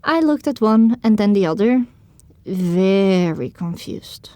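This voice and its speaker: A woman's voice: mid-range voice, animated, slow, mellow, no room sound. A woman narrating an audio drama in the first person, reading scripted storytelling prose.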